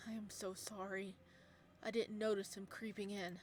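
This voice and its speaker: A woman voicing a protective male living god in a game story, who speaks in a sharp, cold, clipped way. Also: medium pitch, level tone, normal speed, lightly acted. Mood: calm.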